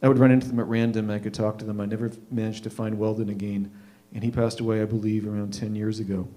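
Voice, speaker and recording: A man in his fifties from Canada hosting a live show, telling a personal story informally in a wry, reflective way with deliberate emphasis. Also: fairly low pitch, little pitch movement, dark tone. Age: 50s. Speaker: host of a live readers' theatre show.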